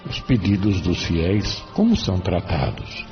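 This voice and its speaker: Man as a deep, animated spirit mentor. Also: measured pace, exaggerated voice. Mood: curious. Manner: formal, kindly, inquisitive. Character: benevolent male spirit mentor, courteous and curious. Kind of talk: audio novela dialogue. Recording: dull sound with faint music.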